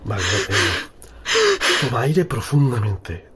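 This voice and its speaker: A man's voice: mid-range voice, wildly swooping pitch, slow. A man playing a demanding but concerned sports coach in an audio drama. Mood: concerned, steadying. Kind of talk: acted audio drama dialogue. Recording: noticeable background noise.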